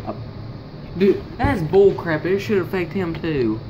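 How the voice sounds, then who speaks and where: A man's voice: high voice, lively intonation, a little slow, muffled recording. A young man giving casual, exclamatory, teasing gameplay commentary as host, picked up on a handheld device. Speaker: video host. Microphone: handheld phone or camera. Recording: noisy handheld amateur recording, constant hum.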